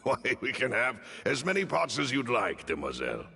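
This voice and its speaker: A man's voice: medium pitch, huge pitch swings, normal speed, creaky, sharp tone, a little hiss or hum, heavily acted. A man voicing a courteous, composed attendant in a video game, with formal, deferential manners. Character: courteous, composed male attendant to a young lady.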